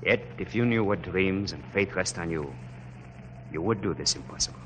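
An actor playing an ardent, idealistic young French officer, speaking in an impassioned, oratorical radio drama style. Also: male voice, sits low, moderate pace, soft background music, close to an everyday voice. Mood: fervent. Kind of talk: classic radio drama acting. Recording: noisy old radio recording.

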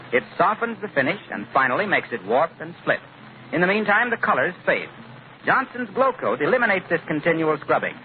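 A man's voice: on the high side, narrow, tinny audio. A man with an American accent announcing a 1940s radio commercial in a polished, persuasive, explanatory sales delivery. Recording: noisy band-limited old radio recording.

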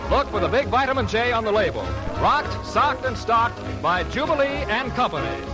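An American man announcing on a vintage radio broadcast, delivering punchy, rhyming sales-pitch patter. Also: big pitch swings, moderate pace. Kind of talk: 1940s radio sign-off announcing. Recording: vintage radio broadcast over music and crowd. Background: music and audience noise.

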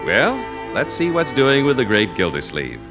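A man announcing a 1940s radio show and reading its sponsor ad in a booming, theatrical style. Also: wildly swooping pitch, moderate pace, muffled recording, over loud music.

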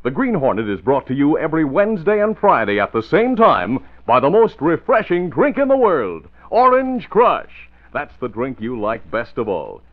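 An American man announcing a 1950s radio show, reading narration and sponsor copy with punchy, emphatic salesmanship. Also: a little high. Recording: very muffled, narrow old radio broadcast.

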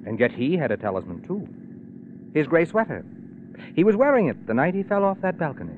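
Old muffled radio play, a sardonic bitter writer guy, needling, swingy pitch. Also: sing-song, a little fast, slight background noise. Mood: pointed. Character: wry, sardonic, bitter failed playwright man. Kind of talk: old-time radio drama acting. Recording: muffled vintage radio recording, narrow band.

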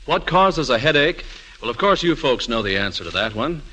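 A man's voice: medium pitch, big pitch swings, normal speed, pushed and bright, slight background noise, low-quality recording. A man announcing a scripted old-time radio commercial in an emphatic, salesmanlike manner.

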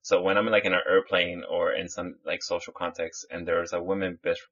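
A man's voice: low voice, little pitch movement, fairly quick, a bit bright, slightly rough. A man from Chile, a podcast guest, chatting casually and rambling with many restarts. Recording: muffled lo-fi audio.

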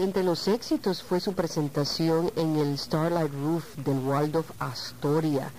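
A woman's voice: low voice, mellow, slight background noise, low-quality recording. A woman hosting a conversational interview, chatty and complimentary, prompting her guest with questions. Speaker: interviewer and host.

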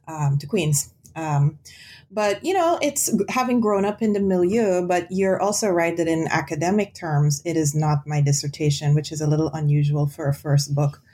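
Low-voiced woman scholar, thoughtful. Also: normal speed, dark tone. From Guyana. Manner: thoughtful, frequent ums and restarts. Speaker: author and guest. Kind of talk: academic interview conversation.

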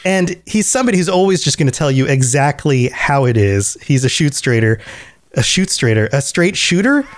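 A man hosting a podcast in casual chat, a bit hoarse from a sore throat, rambling and correcting himself. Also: medium pitch, lively intonation, normal speed, dry recording, studio quality. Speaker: podcast host.

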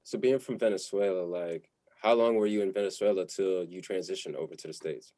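Man, very deep voice, dry close sound, laid-back slangy podcast host. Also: brisk, mellow, no room sound. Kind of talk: casual interview chat.

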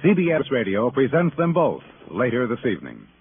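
A man's voice: mid-range voice, wildly swooping pitch, a little fast, heavy background noise. A man announcing in polished, formal 1950s radio style, reading a scripted promo emphatically. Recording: muffled old radio broadcast with music.

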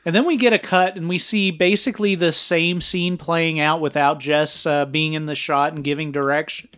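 A young man with a somewhat higher voice than most men walks through a film's scenes in a casual podcast. He talks steadily and breaks into laughter often. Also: steady tone, moderate pace, a bit bright, smooth. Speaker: podcast co-host. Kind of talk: casual chat and film recap.

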